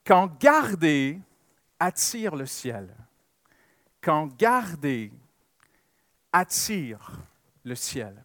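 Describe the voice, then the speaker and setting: A man's voice: fairly high pitch, big pitch swings, extremely slow, pauses often, a bit bright, no room sound, clean studio sound. A man with a French Canadian accent preaching a sermon with personal stories, emphasizing and repeating key phrases.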